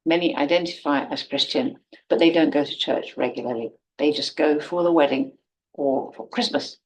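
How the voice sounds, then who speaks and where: A woman teaching an online class, speaking clearly and evenly and putting quiz questions to her students. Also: low voice, low-quality recording. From Britain. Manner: clear, measured, poses quiz questions to the viewer. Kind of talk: online class introduction video.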